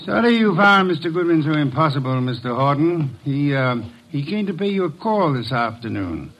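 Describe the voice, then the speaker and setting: A man's voice: fairly high pitch, sing-song, normal speed, dull audio, a little hiss or hum. A man playing a pompous, cultured, imperious detective in an old-time radio drama, with precise and ornate phrasing. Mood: cool, controlled.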